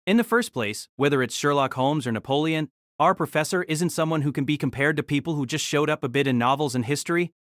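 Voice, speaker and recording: A woman's voice: low voice, fast, no room sound, studio quality, voice pushed down, natural voice. A woman voicing a fierce, indignant young male student with a scolding manner in a story.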